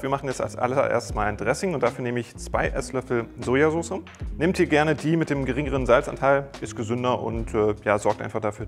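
A young man talking through a recipe in an easygoing, chatty way, dropping small jokes as he cooks. His voice sits at an ordinary middle pitch, close and clean on a lapel mic in a bright home kitchen, with faint music underneath. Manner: friendly, chatty, explains step by step with small jokes. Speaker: cooking channel host. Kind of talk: casual cooking tutorial.

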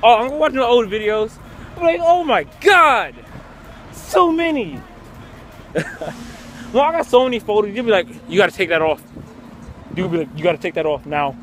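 A man's voice: sits high, a little hiss or hum. A teenage boy chatting casually and playfully into a handheld camera as he vlogs on the move. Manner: playful, teasing. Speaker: vlogger. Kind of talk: casual handheld travel vlog chat. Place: on the move, outdoors on streets and aboard transit. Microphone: handheld camera at arm's length.

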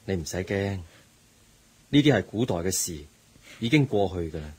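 Radio play: a kindly, earnest teacher, Hong Kong Cantonese, husky voice with animated lilt. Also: male voice, deep voice, normal speed. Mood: lecturing. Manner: lecturing, earnest. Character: patient middle-aged teacher, moralizing and kind.

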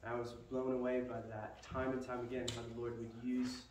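A young man giving a halting, earnest ministry update in a large church sanctuary, heard from a distant camera with no microphone. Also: mid-range voice, flat delivery, a little mellow. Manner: earnest, slow and halting with fillers. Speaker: missionary guest speaker. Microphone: far camera, no microphone. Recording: echoey hall from far off, background hiss.

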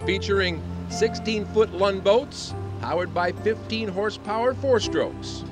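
A man reading a polished, upbeat promotional voice-over. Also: high voice. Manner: upbeat, polished sales delivery. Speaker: promotional narrator. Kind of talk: scripted voice-over narration. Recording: voice-over over loud music.

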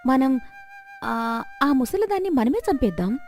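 A woman plays a greedy village wife in a storybook tale, high-voiced and animated. She plots and wheedles with exaggerated fake sweetness, over faint music. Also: a little slow. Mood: impatient plotting. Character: greedy, scheming, sugary-tongued middle-aged village wife.

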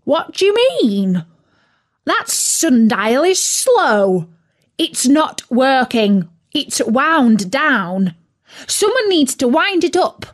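Lady doing a grumpy hungry turkey guy, pitched way up, huffy and impatient. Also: very animated, drawn-out words, full character voice. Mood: indignant. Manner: fussy, indignant complaining. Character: impatient hungry male turkey, squawking and cross.

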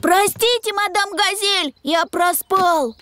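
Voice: extremely high pitch, slow, sharp tone, faint music behind, heavily acted. A performer voicing a sleepy, curious little pony boy in a children's cartoon, with simple childlike delivery. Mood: sheepish.

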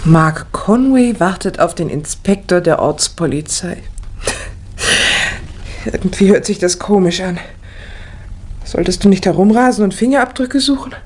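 An actress playing an uneasy, curious companion of a sleuth in a 1960 radio mystery, theatrical and questioning. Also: female voice, mid-range voice, a little slow, long pauses, darkish tone, natural voice. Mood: teasing. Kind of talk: 1960 German radio mystery play acting. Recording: vintage vinyl radio play, faint noise.

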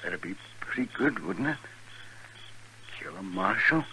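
A man's voice: sits low, very animated, measured pace, bright, forceful tone, exaggerated voice. An American actor playing a dying, defiant Old West gunman with a rural accent, weak and labored, in a 1950s radio western. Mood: weak defiance. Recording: noisy vintage 1950s radio recording.

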